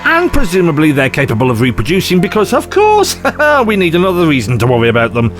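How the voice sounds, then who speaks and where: Man playing an animated, rambling eccentric adventurer. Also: fairly high pitch, fast talker, music in the background. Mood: excited, rambling. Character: eccentric, excitable, rambling male time-travelling adventurer. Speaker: lead actor in an audio drama. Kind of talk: audio drama acting.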